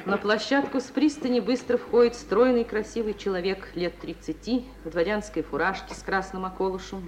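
A woman reading scripted stage directions as the narrator of an old radio drama. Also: mid-range voice. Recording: old radio recording with faint hiss.

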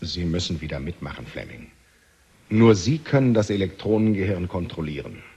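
An actor playing a pragmatic, authoritative research director in a 1970 German radio play, firm and persuasive. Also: male voice, deep voice, lively intonation, normal speed, warm tone, slightly rough, exaggerated voice.

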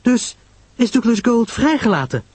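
Guy doing a shocked nosy woman in a radio whodunit, high voice, swoopy, all exclamations and questions. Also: very animated, moderate pace, a little mellow, slightly rough, dry recording, heavily acted. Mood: eager. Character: Pamela, shocked, eagerly curious woman listener.

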